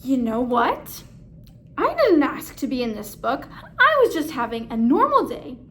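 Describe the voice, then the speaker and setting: A woman's voice: sits high, huge pitch swings, measured pace, a bit bright, slight background noise, heavily acted. A woman voicing an exasperated, put-upon male alligator from a children's picture book, grumbling with emphasis.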